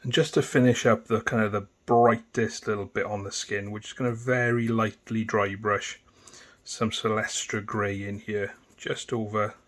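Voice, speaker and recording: A man with a Welsh accent guides viewers through painting a model in a low, easy voice. He talks casually, pausing on fillers and restarting sentences as he works. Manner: relaxed, chatty, with frequent fillers and restarts. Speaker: miniature painting tutor. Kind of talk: casual step-by-step tutorial narration.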